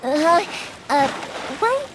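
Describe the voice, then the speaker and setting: A woman playing a cheerful, chatty, kind young firework maker in a video game, bubbly and expressive. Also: very high voice, extremely slow, noisy background, heavily acted. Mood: friendly.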